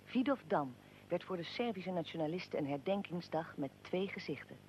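A woman's voice: lowish voice, a little mellow, a little hiss or hum. A woman reading scripted historical narration as the narrator of a radio drama.

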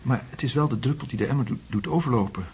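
An actor playing a troubled, anxious tenant in a radio drama, earnest and hesitant. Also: male voice, medium pitch, quick pace, darkish tone, husky. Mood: distressed. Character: troubled male tenant, anxious, convinced the house is wrong. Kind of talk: Dutch radio drama acting.

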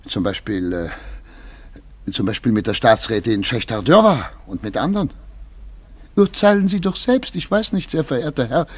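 An actor playing a nervous, self-important major in a German radio play, halting and politely indignant. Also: male voice, medium pitch, very animated, breathy edge, no echo. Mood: flustered, pompous. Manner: hesitant, halting, stammering politeness.

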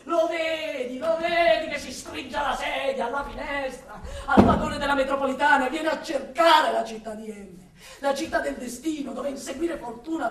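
An actress playing an impassioned woman torn between love and exasperation for her city, in a declamatory radio monologue with drawn-out, repeated words. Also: high-pitched. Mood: passionate, urgent. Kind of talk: dramatic theatrical radio monologue. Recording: roomy echo, distant from the mic.

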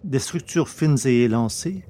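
A male narrator reads a science fiction tale in a middle-range voice. His delivery is scripted, measured and literary, in the manner of an audiobook. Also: mid-range voice, expressive, warm tone.

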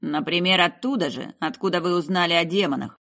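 A woman voicing a gruff, suspicious male military commander in an audiobook, curt and grumbling. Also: lowish voice, a little fast, a bit bright. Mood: grumbling, accusing.

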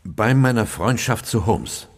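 An actor playing an older, loyal English doctor in a radio drama, narrating and speaking in a grave, reflective, melancholy way. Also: male voice, lowish voice, expressive, measured pace, breathy edge, no room sound, clean studio sound, full character voice. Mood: urgent, guarded.

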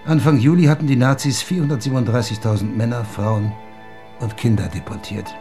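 An actor playing a solemn museum expert who explains history in a formal, measured way in a radio drama. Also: male voice, mid-range voice, close to an everyday voice. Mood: solemn, factual. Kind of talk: scripted lecture-like account in radio drama. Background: faint music.

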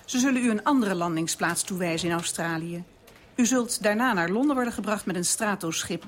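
A woman's voice: medium pitch, brisk, slight background noise, natural voice. A woman playing a businesslike space control officer in a radio drama, formal and instructive.

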